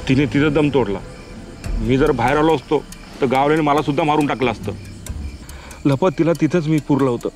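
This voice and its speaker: A man's voice: a little fast, pauses often, a little mellow, lightly acted. An actor playing a sorrowful old village widower who recounts how he lost his wife, in a heavy, mournful way. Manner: low, heavy, mournful telling. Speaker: village man in a short drama. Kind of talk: drama monologue recounting the past. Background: music.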